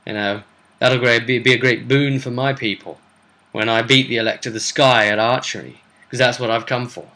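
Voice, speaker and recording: A cocky fantasy archer, as acted out by a male storyteller, with a bright, middle-pitched voice. He is boastful and taunting, squaring up to rivals in a tabletop adventure tale. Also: steady tone, normal speed.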